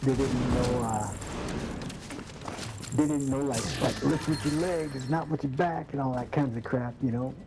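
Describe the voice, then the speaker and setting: A man in his fifties talks in loose, rambling voice chat, tripping over his words and repeating himself. His voice sits at an ordinary middle pitch. Heavy gunfire and game noise roar behind him. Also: measured pace. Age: 50s. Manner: rambling, stammering, repeats words, crude jokes. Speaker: gamer in voice chat. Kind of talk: casual voice chat while gaming. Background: loud game gunfire and explosions.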